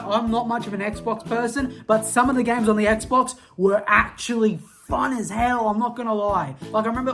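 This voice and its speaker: A young Australian vlogger with a mid-pitched voice talks in a loose, slangy ramble, tripping over restarts and poking fun at themself. Faint music sits low behind the voice. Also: a bit bright. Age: young adult. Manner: rambling, slangy, self-mocking, lots of restarts. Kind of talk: casual nostalgic rant to camera.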